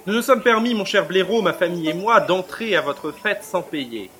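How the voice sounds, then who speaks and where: An actor playing a smooth-talking, aloof provincial lawyer in an audio drama, formal and urbane. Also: male voice, high voice, expressive, normal speed, a bit bright, faint music behind. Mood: flattering. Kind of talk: French audio drama acting.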